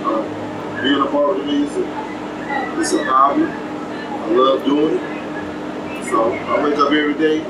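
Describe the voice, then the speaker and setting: Relaxed Texan barber, distant and noisy. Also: male voice, mid-range voice, slow, pauses often. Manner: relaxed, brief, polite answers. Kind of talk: casual interview answers. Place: small trailer interior, windy outdoor event. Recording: distant roomy sound, loud fan and wind noise.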